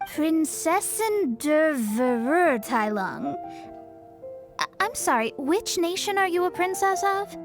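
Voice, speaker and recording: A woman playing a proud, brisk, self-important young astrologist mage in an anime-style video game. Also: very high voice, very animated, exaggerated voice. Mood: indignant, baffled. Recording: music behind the voice.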